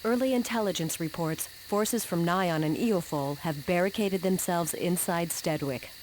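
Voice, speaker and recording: An actress playing a resolute war leader in a video game, giving measured, commanding briefings. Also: female voice, lowish voice, close to an everyday voice, poor audio. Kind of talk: scripted video game cutscene narration.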